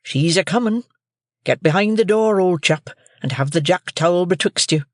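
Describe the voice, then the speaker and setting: A man voicing a gentle, slow-spoken blacksmith in a storybook reading. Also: high-pitched, no echo, clean studio sound, voice pushed up, natural voice. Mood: mild. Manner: slow, mild and plain-spoken.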